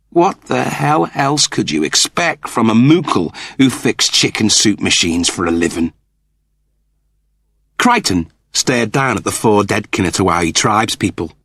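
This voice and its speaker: A man's voice: deep voice, fairly bright tone, slightly rough, no echo, studio quality. A man narrating a story as an audiobook, in a dramatic scripted style.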